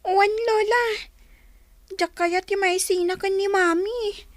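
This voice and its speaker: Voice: extremely high pitch, fairly even intonation, slow pace, no echo, studio quality. A performer acting a small, anxious, questioning grandchild in a radio drama.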